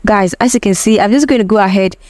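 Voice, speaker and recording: A woman's voice: mid-range voice, fast, a little mellow, no echo, clean studio sound. A woman vlogger casually walking her viewers through a home hair-care recipe, chatty and instructive, often calling them "guys".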